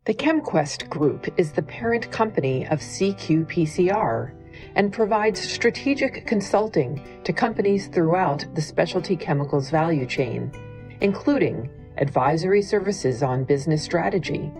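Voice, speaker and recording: A woman with a notably low voice announces a scripted promotion. Plucked string music plays lightly behind her as she narrates. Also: expressive, moderate pace, darkish tone.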